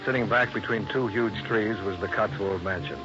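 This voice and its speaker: Male actor as hardboiled investigator, American accent, wry, fairly deep, vintage radio detective show, musical backing. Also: normal speed, music in the background, natural voice. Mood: wry narrating. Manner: dry, wisecracking. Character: wry, hardboiled American insurance investigator narrating his case. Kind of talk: 1950s radio detective narration and dialogue. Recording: muffled old radio recording.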